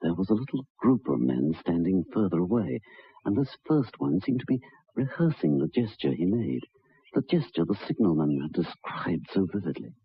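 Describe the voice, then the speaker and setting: Husky, very low-voiced troubled gentleman. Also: big pitch swings, exaggerated voice. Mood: horrified. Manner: formal, deliberate, halting with hesitations. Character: troubled, earnest gentleman recounting a ghostly tale. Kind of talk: old-time radio drama narration. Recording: dull vintage radio recording.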